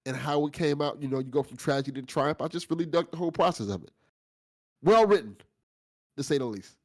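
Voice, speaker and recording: A man's voice: on the high side, fast, darkish tone. A man hosting a casual after-show discussion, effusive and informal in his praise. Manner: effusive, informal, lightly sweary. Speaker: after-show host of a fiction podcast.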